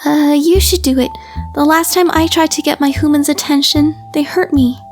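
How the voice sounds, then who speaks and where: A woman's voice: high voice, fairly even intonation, normal speed. A woman voicing a guarded, anxious and protective female pet animal in a visual novel. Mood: wary. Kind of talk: visual novel character acting. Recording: music clearly behind the voice.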